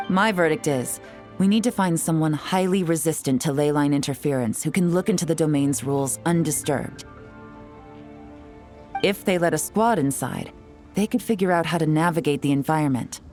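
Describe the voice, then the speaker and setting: An actress as a cool young female intelligence agent in a video game. Her voice sits fairly low, measured and self-possessed, with a knowing, shrewd edge. Soft music plays underneath. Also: lightly acted. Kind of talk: video game voice acting, story exposition.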